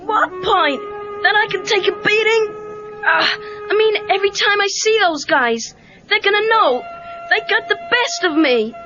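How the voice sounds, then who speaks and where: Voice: extremely high pitch, animated, unhurried. An actor playing an earnest, self-doubting teenage boy karate student in a read-along audio drama. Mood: pleading. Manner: eager, hesitant, self-doubting. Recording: muffled cassette tape with music.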